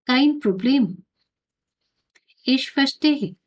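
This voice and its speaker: A woman language teacher reading short phrases aloud slowly and clearly, saying each one twice for learners to repeat. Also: high-pitched.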